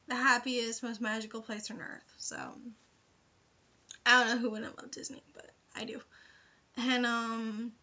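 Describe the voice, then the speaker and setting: A woman's voice: fairly high pitch, bright, forceful tone, lo-fi sound. A young woman vlogger giving casual, chatty advice in an informal, hedging way with lots of filler phrases, on a home recording. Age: young adult. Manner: informal, hedging, frequent "like" and "you know". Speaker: vlogger giving interview tips.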